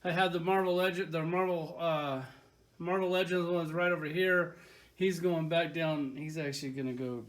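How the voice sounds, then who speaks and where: A man's voice: fairly high pitch, steady tone, moderate pace. A man casually reviewing toys from his collection, enthusiastic and rambling, with lots of filler words. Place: at home by his collection shelves.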